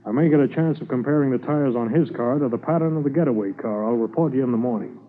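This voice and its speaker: An actor playing a polite, dogged Mountie police sergeant, formal and businesslike, in a 1950s radio drama. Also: male voice, medium pitch, fast talker, loud music behind the voice, lightly acted. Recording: noisy, tinny 1950s radio recording.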